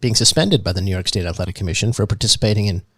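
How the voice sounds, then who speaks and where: Earnest, halting male podcast host. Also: fairly low pitch, expressive, fairly bright tone, no room sound, clean studio sound. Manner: earnest, halting, with many restarts. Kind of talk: mock public-radio narration and interview.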